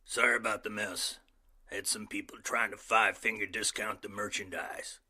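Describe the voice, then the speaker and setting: An actor playing a paranoid, folksy rural gun-store owner with a gruff drawl in an audio drama. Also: male voice, on the low side, steady tone, normal speed, sharp tone, full character voice. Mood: folksy, boastful.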